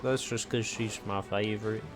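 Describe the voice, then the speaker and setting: A man's voice: on the low side, fairly even intonation, moderate pace. A man giving casual gameplay commentary, rambling and thinking aloud as he plays. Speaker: game streamer. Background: video game music.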